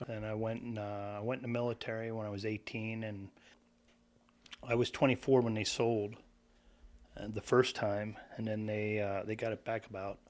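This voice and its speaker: A man from Pennsylvania, a podcast guest speaking in a halting way with many fillers. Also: lowish voice, level tone. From Pennsylvania, USA. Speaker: guest, former hotel owner. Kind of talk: casual interview talk. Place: podcast studio.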